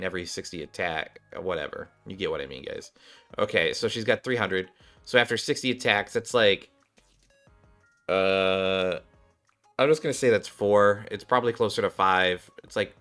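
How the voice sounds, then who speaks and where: A man's voice: deep voice, moderate pace, pushed and bright, soft background music. A man doing casual game commentary, thinking aloud in a rambling way, with a slightly worn voice. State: voice slightly off, recovering. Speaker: gaming streamer, host.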